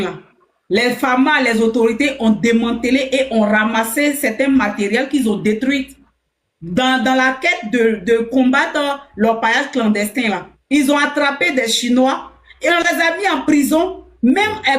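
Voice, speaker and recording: Woman live host, high voice, combative, echoey room sound. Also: moderate pace. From Burkina Faso. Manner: combative, insulting, forceful. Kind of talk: heated political talk.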